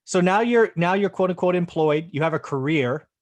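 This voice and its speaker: A man's voice: sits high, expressive, normal speed. A man hosting an interview show, upbeat and enthusiastic with his guest. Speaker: host.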